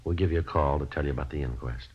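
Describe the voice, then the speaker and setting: A courteous American police detective in a vintage radio drama. His voice is extremely low and he talks briskly in a deadpan, no-nonsense way. The recording is old and muffled. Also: husky. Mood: polite, flat. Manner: clipped, polite, deadpan. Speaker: lead detective and narrator.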